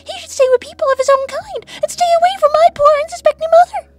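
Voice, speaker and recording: A woman voicing a prim, polite little rabbit girl in a cartoon, sweet and formal with a sharp streak. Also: extremely high pitch, fast, soft background music. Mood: indignant. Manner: sweet, formal, primly disapproving. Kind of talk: in-character cartoon Q&A.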